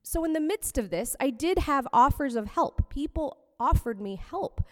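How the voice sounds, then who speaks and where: A woman's voice: high-pitched, expressive, fairly bright tone. Woman minister preaching in a reverberant hall. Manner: personal, reflective, measured. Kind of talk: sermon. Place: fellowship hall.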